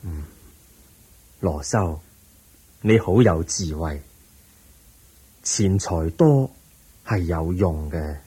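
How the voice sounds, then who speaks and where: A man acting a calm, patient, wise teacher in a radio drama, measured and gently instructive, with a Hong Kong Cantonese accent. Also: sits low, breathy edge, heavily acted, poor audio.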